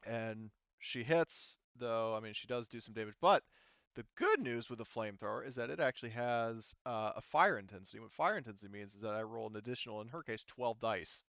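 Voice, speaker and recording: A man's voice: mid-range voice, expressive, fairly quick, fairly bright tone, no echo, dull audio. A man narrating a tabletop game as game master, explaining rules casually and thinking aloud hesitantly.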